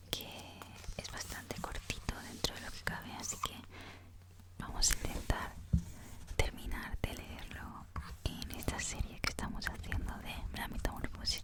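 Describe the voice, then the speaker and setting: A woman reads a book aloud in ASMR style, in a breathy, unvoiced whisper with a soft, unhurried manner. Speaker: reader, host of a reading series.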